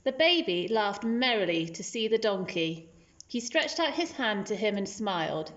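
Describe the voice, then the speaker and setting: A woman's voice: mid-range voice, very animated, moderate pace, a bit bright, lo-fi sound. A woman teacher reading a children's storybook aloud as scripted narration.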